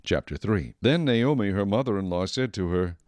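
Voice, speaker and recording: A man narrates scripture in a measured, steady way. His voice is low, and the recording is dry and close, with no room sound. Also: no echo.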